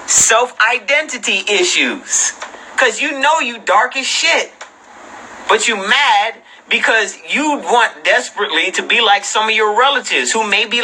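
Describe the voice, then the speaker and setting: A man's voice: high-pitched, pushed and bright, slight background noise, rough recording. A man hosting off-the-cuff commentary, blunt and profane, repeating phrases for emphasis and firing combative rhetorical questions. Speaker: host. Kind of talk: unscripted commentary on read-out articles and clips.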